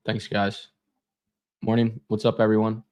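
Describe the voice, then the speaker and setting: A man's voice: lowish voice, completely flat delivery, measured pace, darkish tone. A young man losing his voice, running a casual live trading stream with lots of fillers and repeated words.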